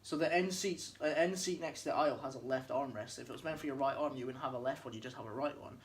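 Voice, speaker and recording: Young man, easygoing co-host, mid-pitched, joking, light noise behind him. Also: fast. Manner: laid-back, joking, searches for words. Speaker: podcast co-host. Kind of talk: casual chat.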